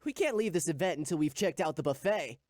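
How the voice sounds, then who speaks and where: A woman playing a friendly young anime character in a visual novel game, casual and expressive, with music behind. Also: lowish voice, fast, no room sound, studio quality, natural voice. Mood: casual, cheerful.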